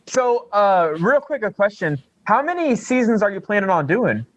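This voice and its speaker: A man's voice: sits high, sing-song, moderate pace, muffled recording. A man hosting a casual podcast chat in an informal, rambling, jokey manner. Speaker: podcast co-host.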